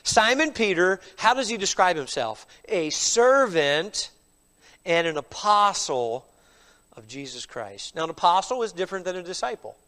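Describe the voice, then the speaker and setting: Middle-aged pastor, high voice, stuffy with a cold, emphatic sermon delivery. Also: male voice, very animated, normal speed, pushed and bright. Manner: emphatic preaching with frequent "all right?" asides.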